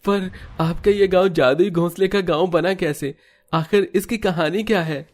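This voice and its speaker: A man's voice: sits high, moderate pace. A man voicing an astonished, curious young village visitor in a Hindi cartoon story, exclaiming and questioning in wonder. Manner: exclamatory, wide-eyed questioning.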